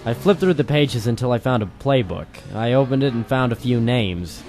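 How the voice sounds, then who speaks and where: A man's voice: medium pitch, lively intonation, normal speed, a little mellow, faint music behind, natural voice. A man playing a hardboiled private eye, narrating dramatically and wryly in the style of an old-time noir radio drama. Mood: wry, watchful. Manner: wry, dramatic first-person storytelling. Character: hardboiled male private eye narrating his own case.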